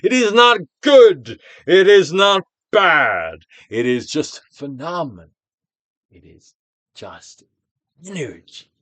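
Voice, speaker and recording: A man's voice: high-pitched, big pitch swings, a bit bright, no room sound. Theatrical male reader with sweeping, dramatic range. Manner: theatrical, Shakespearean delivery with deliberate pauses. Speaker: audiobook reader, actor. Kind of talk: dramatic self-help book reading, guided exercise.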